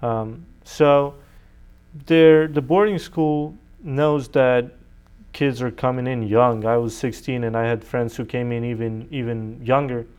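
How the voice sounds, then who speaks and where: A young man from Serbia whose first language is Serbian speaks in a clean, smooth voice at a medium pitch. He is a college student giving conversational answers as an interview guest. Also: steady tone, unhurried, darkish tone. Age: young adult.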